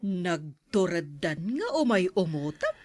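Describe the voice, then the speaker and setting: A woman plays a haughty, cold-hearted wealthy woman in a radio drama. She speaks with disdain and a very animated, swooping pitch, in a dry recording. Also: measured pace, dry recording. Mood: disdainful. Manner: disdainful, indignant.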